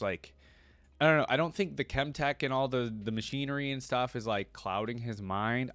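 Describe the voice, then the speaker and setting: A man's voice: medium pitch, fairly bright tone, no room sound. A man hosting a reaction video, reading a story aloud and commenting casually as a fan. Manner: informal slangy fan talk.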